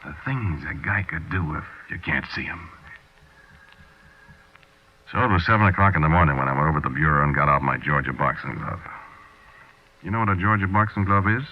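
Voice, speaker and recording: Very deep American crook. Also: male voice, animated, a little fast, long pauses, exaggerated voice. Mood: matter-of-fact, confiding. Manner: slangy, tough, confiding storytelling. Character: cold-blooded small-time male crook confessing a murder. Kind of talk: 1940s radio drama first-person narration. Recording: muffled 1940s radio recording.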